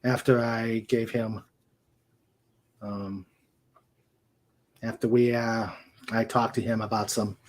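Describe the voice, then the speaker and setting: A man chatting casually as co-host of a livestream, halting and often searching for his words. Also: fairly low pitch, little pitch movement.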